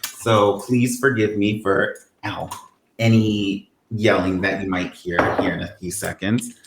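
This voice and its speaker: A man's voice: fairly low pitch, level tone, a little slow. A man co-hosting a casual podcast, talking in a playfully sarcastic, mock-bitter way. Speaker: podcast co-host. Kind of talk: casual chat. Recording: echoey room, faint ambient noise.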